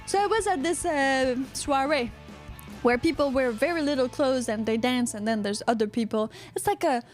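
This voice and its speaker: A woman's voice: sits high, normal speed, faint music behind. A woman from Quebec with a Quebecois accent, co-hosting a casual live podcast, rambling and joking as she reads out chat comments. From Quebec, Canada. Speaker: podcast co-host.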